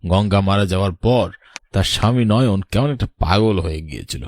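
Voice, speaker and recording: A man voicing a grave, knowing older village man in a horror cartoon, solemn and measured like a storyteller. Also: on the low side, no echo, studio quality, close to an everyday voice.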